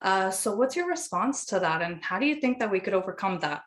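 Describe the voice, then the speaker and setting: A woman's voice: medium pitch, animated, a little fast. A woman interviewer asks prepared questions in a polite, encouraging, conversational way.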